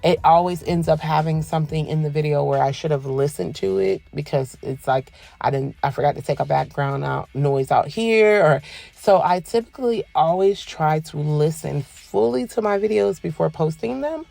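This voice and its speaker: A woman giving a soft-spoken voice-over for a nail tutorial, polite and relaxed, rambling with frequent ums. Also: sits low, moderate pace, gravelly. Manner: soft, quiet, polite phone-etiquette voice. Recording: voice-over with faint background music.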